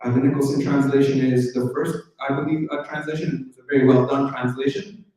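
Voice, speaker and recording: A man's voice: mid-range voice, flat delivery, brisk, warm tone, far from the mic. A man lecturing in answer to a question, laying out organized, numbered points. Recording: echoey hall, off-mic.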